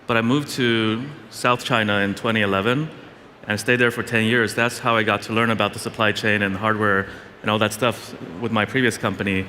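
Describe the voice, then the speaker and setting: A man's voice: fairly low pitch, steady tone, brisk, pushed and bright. A man in his thirties from Sweden, answering casually as an interview guest on stage. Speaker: tech founder, interview guest. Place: event stage in a large venue. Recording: echoey large hall, faint noise.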